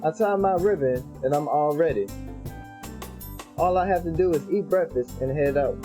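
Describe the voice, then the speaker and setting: A man's voice: on the high side, normal speed, dark tone, soft background music. A man reading visual novel text aloud for a let's play, with casual slangy asides.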